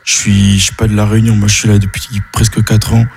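Teen boy, very low flat voice, relaxed and self-assured. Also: fast, vocal fry, faint music behind. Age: teens. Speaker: guest.

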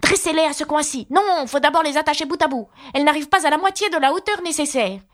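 A woman's voice: high-pitched, quick pace, voice pushed up. A woman voicing bustling, bossy little animal workers in a storybook, calling out urgently and colloquially. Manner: urgent, shouting orders, colloquial. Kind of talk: storybook character reading.

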